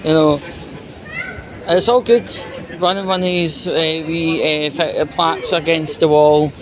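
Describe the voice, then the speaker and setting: A man with a Scottish accent gives an exasperated, sweary, halting off-the-cuff rant while out walking. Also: on the high side, fairly even intonation. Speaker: vlogger. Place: outdoors, walking. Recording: noisy outdoor street with traffic and crowd.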